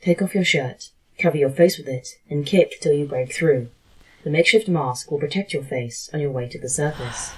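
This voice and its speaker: A woman playing a disembodied, once-human A.I. in an audio drama, measured, deadpan and resigned. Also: sits low, a little hiss or hum, lightly acted, rough recording. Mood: instructing. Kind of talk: audio fiction drama acting.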